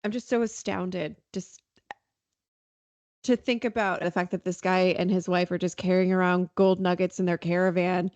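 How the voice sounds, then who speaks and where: A woman hosting a podcast, chatting casually in an informal, expressive way. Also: lowish voice, moderate pace, no echo, highs cut off. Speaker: podcast host. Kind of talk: casual conversational chat.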